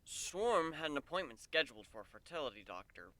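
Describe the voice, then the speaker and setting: A man playing an earnest, devoted male pegasus guard in a story reading, gentle and hesitant in manner. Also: sing-song, fairly quick, poor audio. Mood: sombre, hesitant. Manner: hesitant, low-key, tender.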